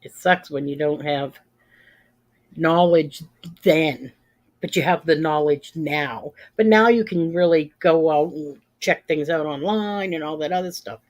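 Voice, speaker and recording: A woman's voice: low-pitched, measured pace. A woman in her fifties chatting casually as a livestream host, plain-spoken and emphatic, repeating phrases for stress. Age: 50s. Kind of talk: casual chat with live viewers.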